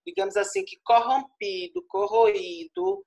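A man in his forties speaks with a northeastern Brazilian Paraíba accent, giving a university lecture from a small room at home. He talks into an earphone headset on a video call. His delivery is expansive, with key words drawn out slowly and points closed with a questioning "certo?". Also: very high voice, big pitch swings, creaky, a little mellow. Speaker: guest lecturer. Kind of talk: online lecture.

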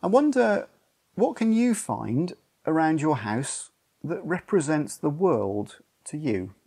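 A man's voice: a little high, very animated, slow pace, creaky, mellow, slightly rough. An older man leading a church service for people at home, explaining simply and giving instructions to families. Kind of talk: instructional talk to a home congregation. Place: living room at home, indoors.

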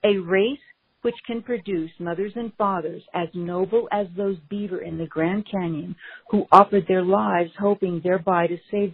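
A woman's voice: fairly low pitch, husky. A woman reading a nature book aloud. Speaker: beaver trapper, reader. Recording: tinny phone-like sound.

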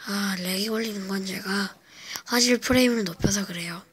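A woman vlogger whispering a spooky personal story at home in a slow, hesitant way, with many fillers. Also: mid-range voice, a bit bright. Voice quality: breathy whisper. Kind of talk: whispered casual storytelling to camera. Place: home, indoors.

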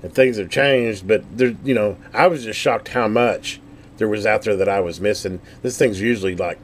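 Low-voiced man, rambling and folksy. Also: normal speed, slight background noise. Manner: rambling, folksy, thinking aloud. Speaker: scanner hobbyist giving a product overview. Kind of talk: casual unscripted demonstration.

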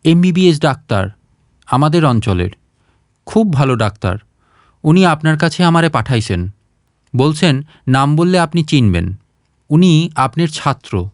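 Male narrator as storybook villager: earnest, deferential, rustic, slightly high lively voice. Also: unhurried, long pauses, dry recording, clean studio sound. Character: simple, earnest village man, patient's talkative companion.

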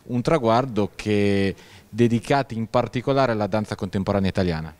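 A man's voice: fairly low pitch, moderate pace, a little mellow. A man interviewing a festival director on local TV, asking fluent, prepared questions. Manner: fluent, scripted phrasing. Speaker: TV news interviewer.

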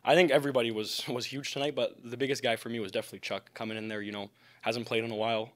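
A man's voice: medium pitch, level tone, racing, a bit bright. A young man, a hockey player giving a post-game interview. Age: young adult. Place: indoor media backdrop at a rink. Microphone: microphone on a stand in front.

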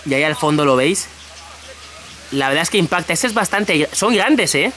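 A man's voice: a little high, expressive, moderate pace, sharp tone. A young man casually narrating a travel vlog to camera, upbeat and chatty, calling his viewers family as he walks around a beach resort and a busy market. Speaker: vlogger. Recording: music bed underneath.

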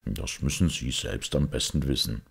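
A man voicing a calm, patient, grave priest detective, composed and unhurried, in an audiobook story. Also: extremely low pitch, creaky, husky, exaggerated voice.

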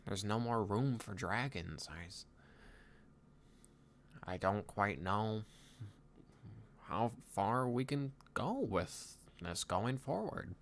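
A man voicing a weary, earnest young Viking leader in improvised roleplay audio, nasal and halting, musing to a companion. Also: fairly low pitch, slight background noise. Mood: weary, tender. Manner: halting, hesitant musing with pauses.

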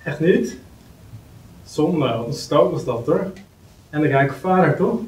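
A man's voice: fairly high pitch, animated, slow pace. A man playing a jovial, teasing office colleague of fifty with chummy, mocking, colloquial banter at a script table read. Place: meeting room, indoors. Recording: roomy, distant mic, faint noise.

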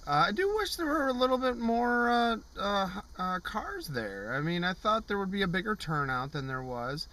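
A man's voice: sits high, big pitch swings, moderate pace, hardly any pauses, pushed and bright. A man in his thirties giving a casual vlog review from the driver's seat of a parked car, rambling with lots of fillers and restarts. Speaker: car show vlogger. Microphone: camera on the dashboard.

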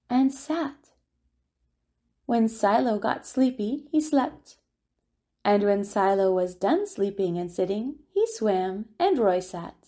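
A woman reading a children's picture book aloud as a storyteller, with expressive, deliberate delivery and drawn-out words. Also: mid-range voice. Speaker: children's storyteller at a family centre.

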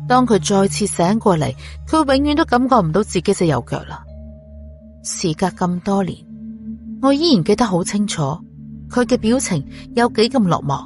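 A woman's voice: mid-range voice, big pitch swings, moderate pace, darkish tone. A woman narrating a scripted audiobook story. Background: music.

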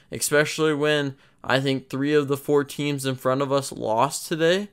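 A man's voice: mid-range voice, fairly bright tone, clean tone. A young man hosting a fan recap, talking through the game in an earnest, frustrated way with restarts. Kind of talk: casual recap voice-over. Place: voice-over over game footage.

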